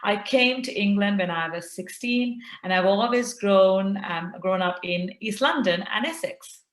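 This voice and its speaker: A middle-aged woman from Sri Lanka, a consultant doctor, answering interview questions earnestly with many pauses and fillers. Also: mid-range voice, echoey room. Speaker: consultant obstetrician and gynaecologist.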